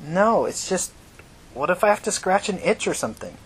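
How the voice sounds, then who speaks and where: A man's voice: sing-song, moderate pace, a bit bright, breathy edge, exaggerated voice. A man voicing a frightened young woman in an audiobook, with a small, hesitant, pleading manner. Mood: nervous, pleading. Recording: noisy recording with low rumble.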